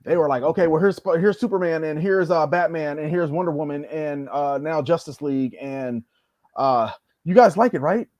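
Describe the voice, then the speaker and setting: A man's voice: on the high side, normal speed, a little mellow, dry recording. A man as a podcast guest, chatting casually in a blunt, slangy, opinionated way. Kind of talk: casual chat.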